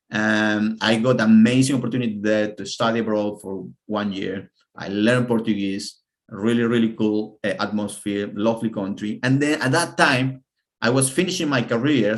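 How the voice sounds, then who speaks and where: A man in his thirties from Peru, with a Peruvian Spanish accent, giving an earnest online presentation over a video call and often repeating words as he searches for them. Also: mid-range voice. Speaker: webinar presenter.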